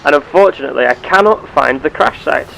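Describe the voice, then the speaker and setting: A man voicing a curious, earnest space explorer with measured, formal, theatrical phrasing, narrating a sci-fi game roleplay. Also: on the high side, animated, gravelly, bright, forceful tone, slight background noise, exaggerated voice, poor audio. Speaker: gameplay host.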